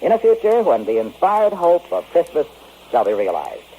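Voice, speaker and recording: A man's voice: a little high, huge pitch swings, moderate pace, vocal fry, dark tone, slight background noise, low-quality recording. A man reading a scripted vintage radio commercial as the announcer.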